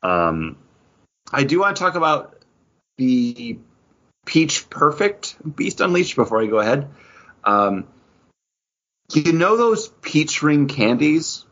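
A man's voice: on the high side, very animated, takes it slowly, long pauses, a bit bright, muffled recording. A middle-aged man co-hosting a pop-culture podcast in casual, jokey chat. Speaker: podcast co-host.

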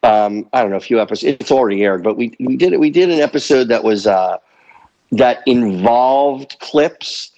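A man in his fifties, a guest comedy writer, chatting casually on a podcast with halting restarts and dry self-deprecating humor. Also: mid-range voice, animated, dark tone, lo-fi sound. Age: 50s.

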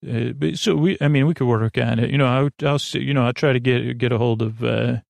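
Male sleep-podcast host, gravelly voice, dry close sound, meandering and reassuring. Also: medium pitch, brisk, darkish tone, no room sound. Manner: meandering, goofy, filler-heavy. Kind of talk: rambling bedtime monologue.

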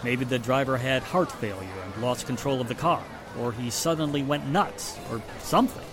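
An actor playing a matter-of-fact, assertive police inspector in the style of a 1940s radio mystery. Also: male voice, medium pitch, normal speed, natural voice. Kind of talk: 1940s radio drama acting. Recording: music and street sounds behind the voice.

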